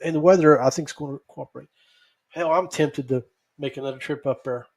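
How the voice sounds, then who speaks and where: An American man hosting a podcast solo, rambling casually in a halting, folksy way. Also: fairly high pitch, darkish tone. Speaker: podcast host.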